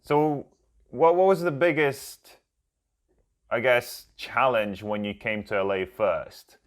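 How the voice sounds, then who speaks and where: A man's voice: mid-range voice, slow pace. A man hosting a podcast, asking interview questions eagerly and often repeating words as he starts. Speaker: podcast host.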